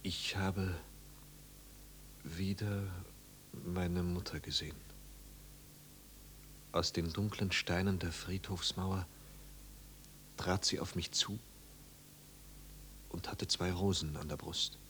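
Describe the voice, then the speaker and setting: An actor playing a troubled, melancholy young man in a 1950s radio drama, halting and eerily calm. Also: low voice. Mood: dreamy, eerily calm. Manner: halting, subdued, trance-like. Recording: hum and hiss of 1950s radio.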